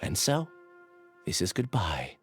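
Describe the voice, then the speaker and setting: Earnest, resigned young scholar. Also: male voice, low voice, huge pitch swings, slow, faint music behind, heavily acted. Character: earnest, resigned young male researcher bidding farewell. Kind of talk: video game voice acting.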